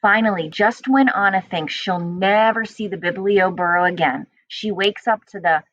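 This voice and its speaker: A woman reading a children's storybook aloud as a teacher, with expressive storytelling. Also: medium pitch, normal speed, no room sound, highs cut off.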